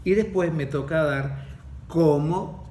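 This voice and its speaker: A man, his voice sitting a little high for a man, explains things step by step in a podcast chat. He often stops to ask "ok?" to check he is being followed. There is a faint hum of room noise behind him. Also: slow, mellow. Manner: didactic, repeats himself, checks in with "¿ok?". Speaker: diploma course facilitator teaching the nervous system.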